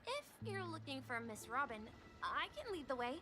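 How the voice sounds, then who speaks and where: Polite little bellboy kid from a game, high bouncy voice, super earnest. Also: female voice, sing-song, moderate pace. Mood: helpful, reassuring. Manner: earnest, courteous. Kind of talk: animated game voice acting. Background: music.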